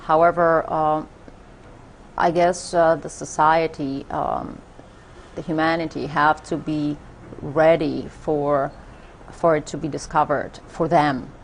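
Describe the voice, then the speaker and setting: A woman with a Polish accent and a low voice speaks as an interview guest. She talks haltingly, with frequent fillers and restarts. Faint noise sits under the voice. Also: little pitch movement, gravelly. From Poland. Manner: hesitant, many fillers and restarts. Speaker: guest, spiritual teacher. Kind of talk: interview conversation.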